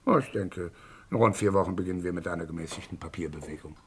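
An actor playing a cynical, gloating British financier in a vintage German crime radio drama, sardonic and theatrical. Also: male voice, low-pitched, fast, slightly rough, exaggerated voice. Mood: calculating. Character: cynical, gloating titled British financier scheming on the stock exchange.

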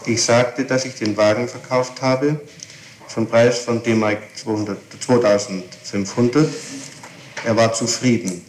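A man's voice: medium pitch, steady tone, a little slow. A man reading a statement back in a formal, matter-of-fact way during an interrogation. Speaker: police record taker. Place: echoey interrogation room. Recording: echoey archival tape with faint hiss.

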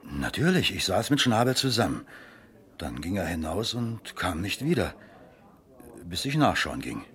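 A man being questioned in a radio crime play, a small factory owner who is embarrassed and evasive. His voice is deep and highly inflected, and he explains himself defensively. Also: big pitch swings, measured pace, fairly bright tone, faint music behind, heavily acted. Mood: impatient. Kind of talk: 1970s German radio crime drama acting.

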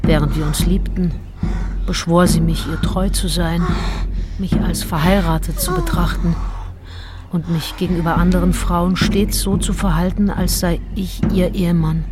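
Schauspielerin als romantisch verletzte Tagebuchschreiberin, eher tiefe Stimme. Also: female voice, fairly low pitch, normal speed, heavy background noise, close to an everyday voice. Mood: intimate, confiding. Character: romantic, wounded, self-dramatising female diarist in love. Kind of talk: literary radio drama, first-person diary monologue. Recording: music behind the voice. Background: prominent music.

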